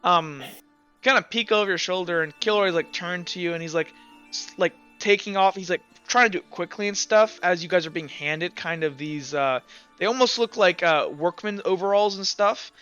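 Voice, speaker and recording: A man's voice: high-pitched, moderate pace, a bit bright, smooth. A man narrating a tabletop roleplaying game as game master, describing scenes in a rambling, piecemeal way. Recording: dull lo-fi sound, faint music behind.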